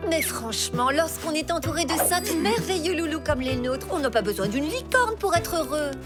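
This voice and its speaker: A voice actor playing a calm, sensible human father in a cartoon. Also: very high-pitched, normal speed, over loud music. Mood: soothing. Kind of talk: French cartoon dubbing.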